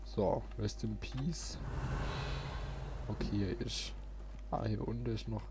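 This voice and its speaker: Slow-talking man doing gameplay commentary. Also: fairly low pitch. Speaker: let's player, host. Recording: game noise behind the voice. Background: video game ambience.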